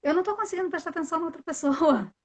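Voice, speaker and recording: A woman who teaches English, hosting a live video call and explaining in a casual, animated way, often asking questions and giving examples. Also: very high-pitched, rapid-fire.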